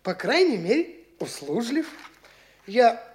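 A swaggering young impostor. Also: female voice, on the high side, slow pace, echoey room, a little hiss or hum. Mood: boastful. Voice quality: swaggering, lively, condescending. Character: boastful young male impostor official, flighty. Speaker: actor in a radio play. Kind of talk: stage radio play acting.

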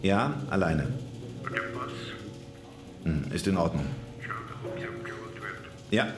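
Deep, gruff customs officer. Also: male voice, lively intonation, drawn-out words, roomy sound, over music, heavily acted. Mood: official. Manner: brusque, official, dryly obliging. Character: gruff but helpful male Swiss border customs officer. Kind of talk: radio play acting.

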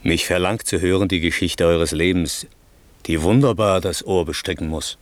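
A man's voice: sits low, steady tone, moderate pace, no room sound, lightly acted. A man playing a noble older king and father, full of wonder, declaiming verse solemnly in a classic radio play. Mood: awed.

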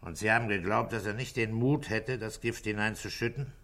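An actor playing a shrewd middle-aged police inspector in a 1960s radio drama, speaking with deliberate, insistent questioning. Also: male voice, lowish voice, fairly bright tone. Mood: probing. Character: shrewd male police inspector, methodical, grave, probing. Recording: vintage 1960s radio studio recording.

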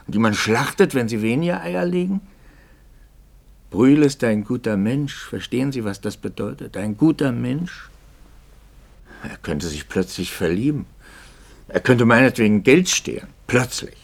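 Anguished priest, husky low voice, 1950s radio drama. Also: male voice, exaggerated voice. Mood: impassioned. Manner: brooding, swings from hushed whispers to impassioned outbursts. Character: troubled male priest, middle-aged, anguished.

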